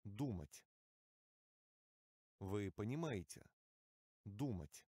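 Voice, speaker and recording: A man reading single vocabulary words and short phrases aloud for a language course, with slow, careful enunciation. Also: lowish voice, lively intonation, dark tone, no room sound, clean studio sound. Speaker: language course audio reader.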